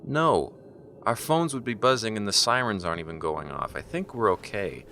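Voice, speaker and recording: A man playing a calm, dismissive boyfriend in a horror audio drama, casual and slightly exasperated. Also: lowish voice, moderate pace, a little hiss or hum, heavily acted. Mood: reassuring. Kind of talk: naturalistic audio drama acting. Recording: clear storm ambience behind.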